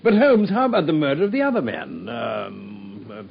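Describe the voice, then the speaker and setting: A man's voice: mid-range voice, wildly swooping pitch, normal speed, creaky, slightly rough, exaggerated voice. An actor playing an earnest doctor who eagerly admires his friend and prompts him with questions. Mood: admiring. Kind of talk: 1950s radio drama acting. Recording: dull lo-fi old radio broadcast.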